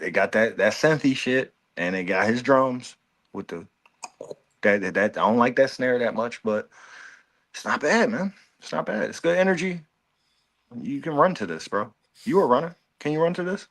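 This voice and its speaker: Guy on a podcast trashing songs, swears a lot, animated, plain mid voice. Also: mid-range voice, normal speed. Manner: blunt, profane, animated, mimics voices. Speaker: podcast co-host reviewing an album. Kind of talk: casual music review chat.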